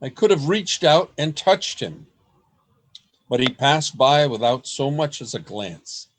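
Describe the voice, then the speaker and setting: A man playing an anxious writer narrating his secret diary in a radio play, in a deliberate storytelling manner. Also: mid-range voice, very animated. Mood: hushed dread.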